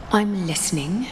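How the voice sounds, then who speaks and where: A woman playing a stern, imperious demigod ruler in a fantasy game, cold, commanding and formal. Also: mid-range voice. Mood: curt, unyielding. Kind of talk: dramatic game voice acting. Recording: long hall reverb, music behind.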